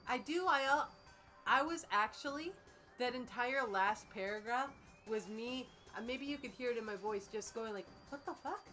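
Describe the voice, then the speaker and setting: A woman's voice: fairly high pitch, moderate pace, pushed and bright, faint music behind. A woman in a casual, playful back-and-forth, swearing freely and riffing on odd phrases, sometimes reading aloud with mock drama. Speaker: co-reader and commentator on a comedy reading stream.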